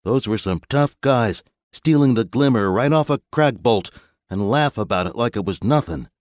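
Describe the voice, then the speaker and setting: A man's voice: mid-range voice, lively intonation, normal speed, darkish tone, no room sound, clean studio sound, voice pushed up, natural voice. A man voicing an eager, deferential young male space legionnaire in an audiobook.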